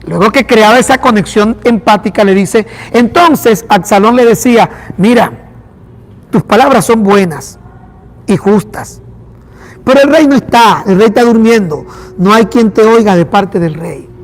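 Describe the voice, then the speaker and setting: A man with a Venezuelan accent, a pastor preaching an animated sermon and acting out dialogue. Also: sits high, a little slow. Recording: long hall reverb.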